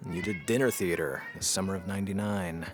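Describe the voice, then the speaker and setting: A man's voice: low voice, a little fast, background noise, lightly acted. An actor playing a put-upon, sarcastic man in a comedic audio drama, grumbling with dry reluctance. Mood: reluctant, exasperated.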